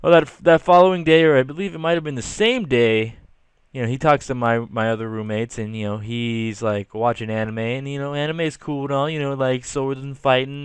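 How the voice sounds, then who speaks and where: A man's voice: medium pitch, smooth, no room sound. A young man telling a casual, slangy story with exasperated asides. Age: young adult. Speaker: storytelling video creator. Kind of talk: casual storytelling over rough drawings.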